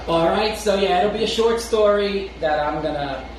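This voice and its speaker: A man's voice: sits high, recorded from a distance. A man with a Brooklyn accent co-hosting a chat show, rambling casually with chuckles and restarts. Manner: rambling, restarts often, chuckles between thoughts. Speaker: podcast co-host. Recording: very echoey room, off-mic, noisy.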